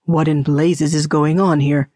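Woman voicing a slow, scolding frontier man. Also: low voice, little pitch movement, darkish tone, dry recording, clean studio sound, pitched below the performer's own voice. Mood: exasperated. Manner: scolding, exasperated. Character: stern yet slightly amused Old West man.

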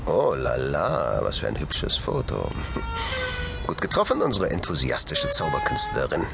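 An actor playing a vain, sardonic master thief in a German audio drama, speaking in a pompous, theatrical, mocking way. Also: male voice, a bass voice, wildly swooping pitch, a little slow, vocal fry, music in the background, exaggerated voice. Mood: amused, mocking.

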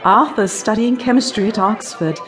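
A woman's voice: medium pitch, moderate pace, natural voice. An actress playing a witty, sceptical young upper-class lady in a radio drama, arch and teasing in manner. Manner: arch, mocking, well-spoken phrasing.

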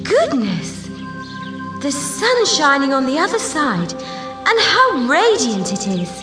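An excitable, curious young girl adventurer for a children's storybook drama. She speaks slowly and very high, exclaiming in wonder to herself. The voice sits in a roomy echo. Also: over music, exaggerated voice. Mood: amazed. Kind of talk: dramatised children's audiobook acting.

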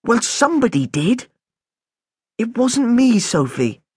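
A man's voice: extremely high pitch, sing-song, takes it slowly, husky, no echo, clean studio sound, voice pushed up, heavily acted. A man voicing a furious, embarrassed schoolgirl who hisses and snaps her words. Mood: angry. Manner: hissing, snappish.

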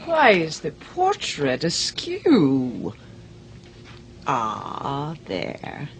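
A woman's voice: deep voice, huge pitch swings, extremely slow, creaky, heavily acted. An actress playing a grand, imperious older society lady in an audio drama, theatrical, languid and commanding. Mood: grandly breezy. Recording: dull sound, faint background noise.

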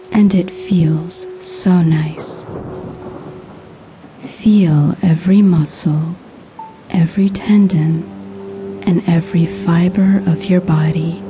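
A woman narrating a scripted guided sleep hypnosis in a soothing, hushed, breathy voice with measured pauses. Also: fairly low pitch, noisy background.

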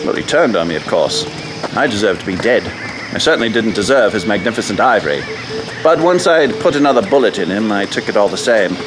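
An actor playing a seasoned, gruff big-game hunter with a dry, wry, blunt manner in a radio adventure drama. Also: male voice, fairly low pitch, expressive, a little fast, natural voice. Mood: dry, assured. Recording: noisy outdoor sound effects behind.

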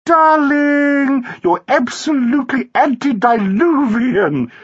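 A man narrating a story and voicing a sprightly, flamboyant society wife, shrill, affected and gushing. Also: slow, dull audio. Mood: insistent, teasing.

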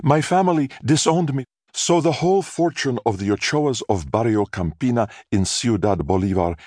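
A melodramatic single mother acted out by a male narrator, plaintive and full of self-pity. The voice is lifted and theatrical, swinging in pitch, as audiobook dialogue in a dry recording. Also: expressive, moderate pace, darkish tone, no echo, close to an everyday voice. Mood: tearful, wounded.

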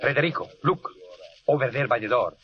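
An actor playing a boastful, jovial Italian army surgeon with an Italian accent in a vintage radio drama. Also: male voice, mid-range voice, expressive, a little fast, lightly acted. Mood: breezy, persuasive. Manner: exuberant, flirtatious, swaggering. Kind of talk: 1930s-1940s radio drama acting. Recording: muffled old radio broadcast.

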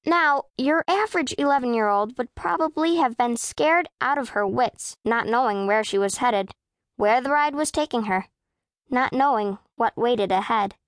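High-voiced, self-possessed young girl played by a woman. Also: normal speed, no echo, studio quality, lightly acted. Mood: composed, proud. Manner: precise, composed, matter-of-fact. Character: self-possessed, proud, scowling eleven-year-old girl narrator. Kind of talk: first-person audiobook narration of children's fiction.

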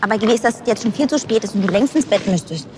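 An actress playing a lively, doting young mother with a casual, excitable manner in a children's audio drama. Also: mid-range voice, quick pace, background noise, lightly acted. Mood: affectionate, casual.

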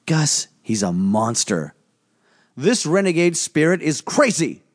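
Brief: a firm, commanding magician with a lively, raised voice, played by a male narrator. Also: a little high, takes it slowly, no room sound, clean studio sound, pitched above the performer's own voice, full character voice. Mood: stern. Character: stern protective male magician. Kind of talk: audiobook character voice.

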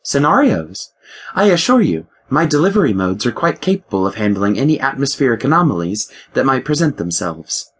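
Calm, curious starship AI with a female voice, played by a man reading; pitched up, formal and precise. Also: brisk, slightly rough. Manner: formal, precise, dryly sarcastic. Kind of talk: audiobook character voice.

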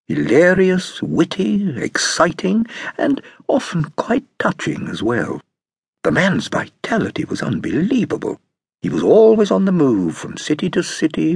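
An actor playing an urbane, amused nephew telling of his uncle, in theatrical British audiobook narration with deliberate, relishing phrasing. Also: medium pitch, gravelly, husky, no room sound, exaggerated voice.

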